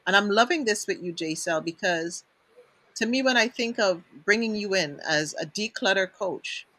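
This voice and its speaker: A woman's voice: medium pitch, pushed and bright, no echo. A woman from Trinidad with a Trinidadian accent, hosting a casual talk show and speaking in an effusive, emphatic way. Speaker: host.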